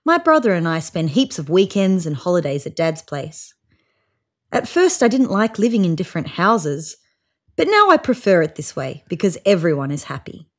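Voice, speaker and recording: A woman in her thirties reading a children's picture book aloud in an expressive, child-directed way. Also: on the low side, normal speed. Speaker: storybook reader. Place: small room indoors, seated on a couch.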